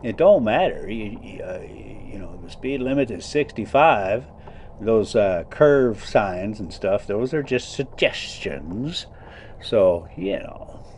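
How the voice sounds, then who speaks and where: A man with a soft-edged, mellow voice reads aloud at an unhurried pace. His pitch moves around a lot as he shifts between theatrical storytelling and casual, colloquial commentary. He is recorded in a motorhome cabin on the road. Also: expressive, slight background noise. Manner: folksy, colloquial, theatrical when reading. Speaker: vlog host reading a story aloud while driving. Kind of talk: casual story reading with reactions and asides. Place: inside a motorhome being driven.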